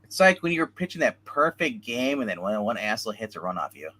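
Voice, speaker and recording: A man's voice: fairly low pitch, sing-song, brisk, bright, forceful tone. A man co-hosting a livestream panel in casual, joking chat. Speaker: co-host of a comics discussion show.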